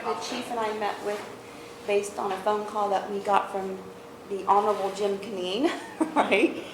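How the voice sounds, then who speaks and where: An American woman chairing a board meeting, introducing guests informally and haltingly, often checking with others as she goes. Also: medium pitch. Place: public board meeting room. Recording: echoey meeting room with clear background noise.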